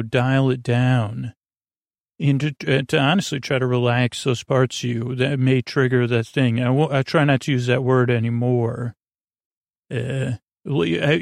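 A man hosting a bedtime podcast in a creaky voice, meandering gently with hesitations, self-interruptions and a silly, lulling manner. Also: medium pitch, no room sound, clean studio sound. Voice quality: creaky, gravelly. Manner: soothing, lulling. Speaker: podcast host. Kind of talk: rambling bedtime podcast monologue.

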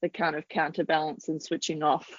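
An Australian woman in her thirties chats on a podcast with a slightly low voice, laughing easily and dropping frequent ums. The sound is dry and close, with a dull, lo-fi quality. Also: flat delivery, brisk, dry recording. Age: 30s. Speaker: podcast guest. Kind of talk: casual interview chat.

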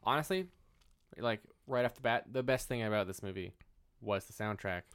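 A man's voice: lowish voice, normal speed, a bit bright, clear, resonant tone, no echo. A man co-hosting a casual film chat, dropping wry, joking asides. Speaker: podcast co-host.

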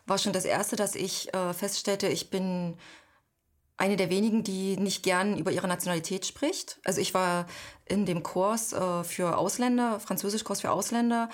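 A woman in her thirties from East Germany, a guest recalling her childhood and searching for words with frequent pauses. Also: mid-range voice, fairly even intonation. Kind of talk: reflective personal interview.

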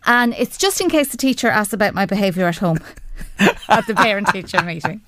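An Irish woman co-presenting on radio, telling a relaxed anecdote as a parent. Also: mid-range voice, fairly quick, a little hiss or hum. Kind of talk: casual radio chat. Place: radio studio.

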